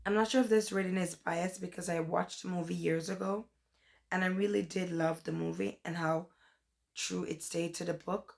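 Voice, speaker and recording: Hoarse young woman with a cold. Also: on the low side, steady tone, moderate pace. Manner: chatty, rambling, says "like" and "um" often. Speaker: book vlogger. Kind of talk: casual book review vlog.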